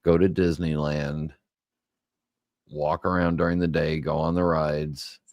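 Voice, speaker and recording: Very deep-voiced man, casual chat. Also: darkish tone, dry recording, studio quality. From Southern California. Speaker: co-host.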